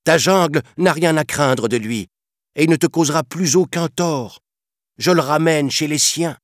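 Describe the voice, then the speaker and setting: A man's voice: deep voice, expressive, measured pace, fairly bright tone, no room sound, studio quality, voice pushed up, exaggerated voice. Man as a firm, raised-voice female panther guardian. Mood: firm, protective. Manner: firm, earnest, reasoning. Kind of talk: children's storybook reading.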